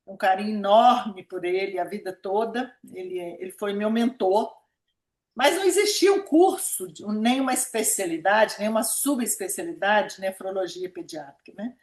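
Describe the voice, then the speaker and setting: A woman's voice: medium pitch, moderate pace. Older Minas Gerais woman, echoey room. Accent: Brazilian, Minas Gerais. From Minas Gerais, Brazil. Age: about 70. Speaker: interviewee, pediatric nephrologist. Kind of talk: conversational autobiographical interview.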